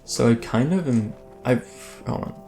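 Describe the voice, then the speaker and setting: A man's voice: mid-range voice, lively intonation, a little slow, warm tone, faint music behind. A man hosting a let's play, giving casual, rambling gameplay commentary that keeps breaking off mid-thought. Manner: rambling, self-interrupting, chatty.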